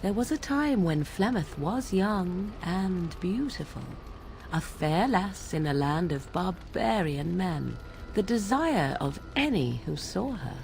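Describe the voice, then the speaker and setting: An actress playing an aloof young witch of the wilds in a fantasy game, telling tales in archaic, formal, measured diction. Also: lowish voice, sing-song, gravelly, a little mellow, a little hiss or hum, exaggerated voice. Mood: storytelling, measured. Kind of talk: theatrical storytelling in fantasy game dialogue.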